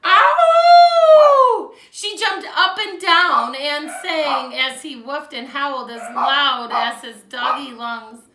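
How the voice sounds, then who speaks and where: A woman teacher doing an animated storybook read-aloud for young children, with teaching asides, recorded indoors. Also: high voice, takes it slowly, few pauses, heavy background noise. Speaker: second grade teacher. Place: indoors at home. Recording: roomy echo, distant microphone.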